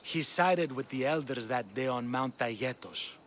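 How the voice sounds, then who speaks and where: A man's voice: mid-range voice, normal speed, fairly bright tone, a little hiss or hum, lightly acted. An actor playing a wry, shrewd Spartan man of authority in a video game, with a dry, grave manner. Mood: grave, disdainful. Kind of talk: dramatic video game acting.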